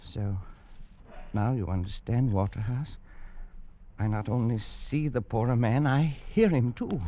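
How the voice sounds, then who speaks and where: An actor playing a haunted, nervous man in a vintage radio drama, with halting, stammering, agitated delivery. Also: mid-range voice, slight background noise, lightly acted. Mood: weary, doomed. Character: haunted, nervous man unravelling under a curse. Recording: muffled vintage radio recording, loud music.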